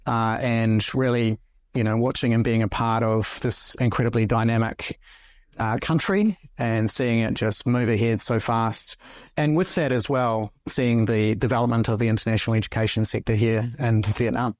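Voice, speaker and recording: Relaxed middle-aged man, dry sound. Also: medium pitch, moderate pace, no echo. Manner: relaxed, self-deprecating. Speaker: panelist, executive director. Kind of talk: panel conversation.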